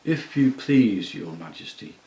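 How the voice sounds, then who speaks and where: An old talking bear mumbles slowly in a thick, sleepy, lowered voice, humble and a little dim, as a man voices him for a storybook. The sound has a roomy echo. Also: lively intonation, vocal fry, mellow, heavily acted. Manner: slow, humble.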